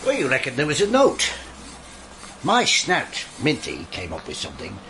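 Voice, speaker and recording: A man's voice: mid-range voice, huge pitch swings, normal speed, bright, forceful tone, slight background noise, heavily acted. A man acting a dogged, wry police inspector in a British radio drama, with a colloquial, slangy British delivery. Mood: keen, sardonic.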